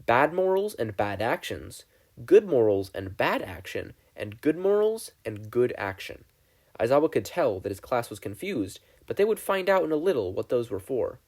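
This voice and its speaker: Mellow, animated male story narrator. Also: medium pitch, moderate pace. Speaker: fan fiction podfic reader. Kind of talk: expressive scripted story narration.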